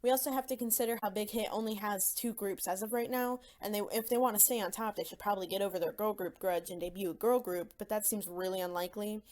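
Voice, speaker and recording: A woman's voice: medium pitch, steady tone, fast talker, few pauses, darkish tone. A young woman giving scripted opinion commentary in an opinionated, casual way with slangy phrasing. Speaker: YouTube commentator.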